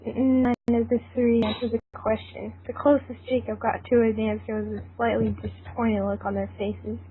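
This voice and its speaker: Tinny, high-voiced woman reading a story. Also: level tone, moderate pace. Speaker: narrator reading a fan fiction aloud. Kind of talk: scripted story narration in a casual group reading. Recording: tinny narrow phone-like line, hissy noise.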